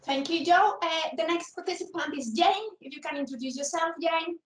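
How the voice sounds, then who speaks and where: High-voiced woman chairing, echoey. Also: fairly quick, far from the mic. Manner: hesitant, with frequent fillers. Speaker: session chair. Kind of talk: moderating a video panel. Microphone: video call. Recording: roomy echo, away from the mic.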